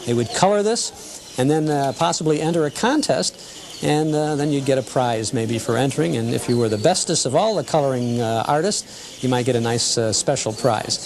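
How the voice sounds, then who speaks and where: A man's voice: medium pitch, fairly quick, a little hiss or hum. A man hosting a nostalgia TV show in an informal, folksy, chatty way with hesitant phrasing. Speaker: host.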